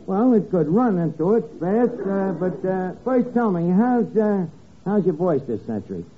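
A muffled, hissy vintage radio recording carries a sardonic New barman. His high voice swoops freely as he lays on mock flattery and mangled grammar, a brash comedy wiseguy. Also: normal speed. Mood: wheedling. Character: brash wisecracking barman, fast-talking, mangles words, sarcastic. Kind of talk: 1950s radio comedy acting. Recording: muffled, noisy old radio broadcast.

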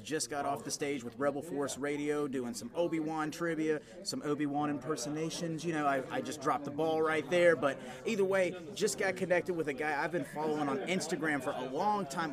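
A man hosting a casual podcast interview at a booth at a busy fan convention. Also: a little high. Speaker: podcast host. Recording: busy convention crowd behind.

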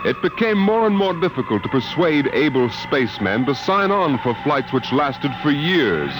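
Animated man narrating old radio drama. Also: a little high, moderate pace, background noise. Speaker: announcer and narrator. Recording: old radio recording, loud music behind.

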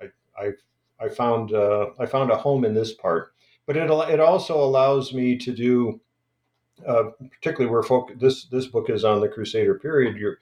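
A man's voice: mid-range voice, darkish tone, reverberant. A man talking as a guest scholar in an interview, hesitant and full of restarts and fillers. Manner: halting, many restarts and fillers.

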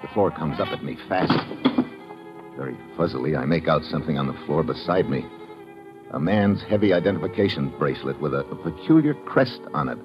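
A man's voice: rumbling low, lively intonation, a little fast, dull audio. A man with an American accent playing a tough, wry agent, terse and slangy, narrating an old radio crime drama. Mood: tense narration. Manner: hard-boiled, terse, slangy. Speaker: lead actor and narrator. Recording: band-limited old radio recording, dramatic music.

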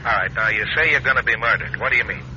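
A man's voice: mid-range voice, rapid-fire, pushed and bright. An unruffled homicide cop with a very hard, piercing edge to his voice. He is clipped and matter-of-fact, in a radio drama with muffled sound. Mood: businesslike. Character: businesslike, unruffled homicide police officer on phone. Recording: dull, noisy radio drama recording.

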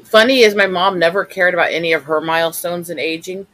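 A man's voice: high-pitched, fairly quick, crowd noise, low-quality recording. A man in his thirties chatting casually on a stream, teasing and joking about himself. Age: 30s. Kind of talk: casual chat.

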